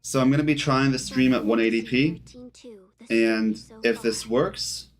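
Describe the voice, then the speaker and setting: A man's voice: mid-range voice, unhurried. A man giving casual live gameplay commentary as a streamer, rambling and thinking aloud as he goes. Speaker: video game streamer. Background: game music.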